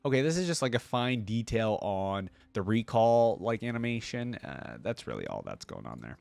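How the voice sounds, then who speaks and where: Low-voiced man giving casual reactions. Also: big pitch swings, moderate pace, gravelly, a little mellow, dry recording. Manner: informal, thinking aloud. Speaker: reaction video host.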